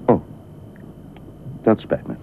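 A man's voice: slow, lightly acted. An actor in a Dutch radio play as a wary, matter-of-fact man, terse and questioning with an odd companion. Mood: sympathetic. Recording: muffled narrow-band old radio recording, noisy ambience.

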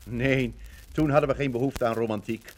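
An actor playing a fervent Dutch witness to liberation, speaking gravely and deliberately in a 1950s radio docudrama. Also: male voice, mid-range voice, fairly even intonation, a little hiss or hum, lightly acted.

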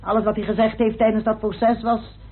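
A high-pitched, precise woman investigator in a radio play. She sounds measured and assured, on a muffled, tinny old recording with a slightly husky edge. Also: little pitch movement. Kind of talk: radio drama acting.

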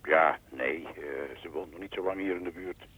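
An actor playing a chatty, insinuating caller in a radio drama, hedging and persuasive, through a telephone filter. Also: male voice, deep voice, moderate pace, slight background noise. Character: chatty, insinuating male acquaintance calling by telephone. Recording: thin, band-limited telephone line.

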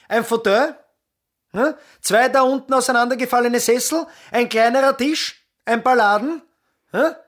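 A man's voice: extremely high pitch, a bit bright. A man playing a brusque, commanding police inspector with an Austrian accent in a radio drama, speaking in curt official orders.

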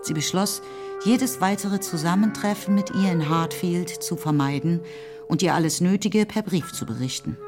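A woman with standard German diction narrating a literary radio play in a measured, articulate storytelling manner. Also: lowish voice, natural voice. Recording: music behind the voice. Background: music.

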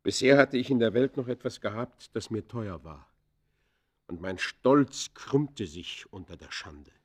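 An actor playing an embittered ex-convict in a radio play, confessing in theatrical, literary that swings from hushed to fierce. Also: male voice, lowish voice, animated, a little slow. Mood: bitter, wounded pride. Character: embittered male ex-convict confessing his descent into crime. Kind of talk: German radio play acting, literary monologue.

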